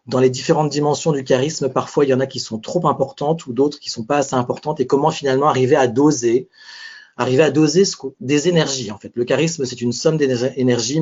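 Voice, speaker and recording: A man's voice: medium pitch, darkish tone. A man presenting a live webinar as a speaking coach, talking conversationally and thinking aloud with restarts. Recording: muffled webinar audio.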